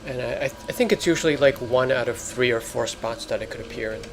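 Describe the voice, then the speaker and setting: A man giving casual, halting gameplay commentary as a let's player. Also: mid-range voice, background noise.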